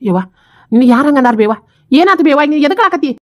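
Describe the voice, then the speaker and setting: A woman's voice: high voice, animated, quick pace. A woman playing a blunt but concerned grown son in a family drama, insistent and questioning, with a North Karnataka accent. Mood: anxious pleading. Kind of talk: melodramatic family drama acting.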